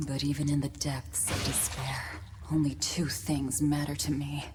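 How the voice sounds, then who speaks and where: A woman playing a grim young woman bent on revenge, narrating a video game with brooding, measured menace. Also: low-pitched, background noise.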